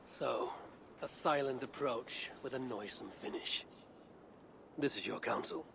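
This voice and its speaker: A man's voice: medium pitch, a little slow, gravelly, noisy background. An actor playing a bold, self-assured male Viking warrior in a video game, speaking tersely in formal, archaic phrases. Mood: confident. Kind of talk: dramatic video game dialogue.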